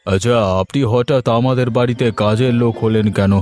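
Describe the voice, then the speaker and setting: A man voicing a kindly, courteous village headman, polite and measured, in a moral-story cartoon. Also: medium pitch, fairly even intonation, a little mellow, lightly acted. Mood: concerned. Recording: music under the voice.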